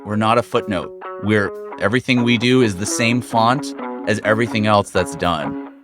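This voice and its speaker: A middle-aged American man, a guest doctor, talking through his career in a measured, articulate way. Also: on the low side, over music. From United States. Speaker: guest interventional radiologist. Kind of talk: conversational interview. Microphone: studio microphone.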